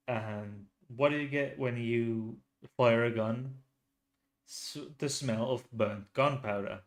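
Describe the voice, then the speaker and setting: A man with a Finnish accent, host of a true crime channel, talking casually with lots of restarts and pauses. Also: medium pitch. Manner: hesitant, many restarts and fillers. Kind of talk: casual solo commentary.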